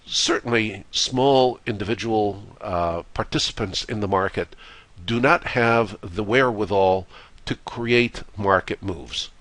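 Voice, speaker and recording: A man teaching a trading course as a voice-over to slides, hoarse and congested from a cold, speaking in a measured way with frequent "uh" fillers. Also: lowish voice, fairly bright tone, no room sound. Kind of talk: recorded slideshow lecture.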